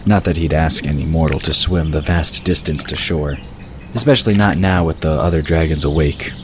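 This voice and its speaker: A man narrating a story in a measured, even way. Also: rumbling low. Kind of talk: scripted audiobook narration. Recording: hissy recording with bird ambience.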